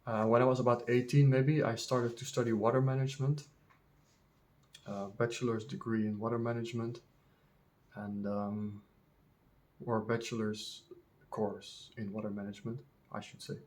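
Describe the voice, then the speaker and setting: Slow, halting Dutch man in his thirties. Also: mid-range voice, darkish tone. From Netherlands. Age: 30s. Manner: halting, many ums and restarts. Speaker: vlogger. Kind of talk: casual unscripted monologue.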